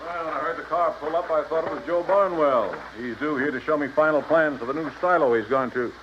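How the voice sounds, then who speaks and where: A man's voice: a little high, a little fast, close to an everyday voice. An actor playing a proud, blustery older male dairy owner in a 1950s radio drama. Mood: hearty. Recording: muffled old radio recording, faint noise.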